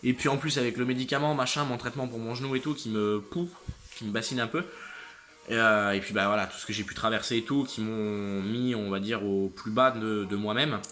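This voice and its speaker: A young man in his late teens talking to viewers in a casual vlog. He is exhausted and a little stuffed up from a cold, so his speech is halting, often trailing off and starting over. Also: fairly low pitch, fairly even intonation, a bit bright. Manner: halting, trailing off and restarting sentences. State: exhausted and slightly congested. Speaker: motorcycle channel host.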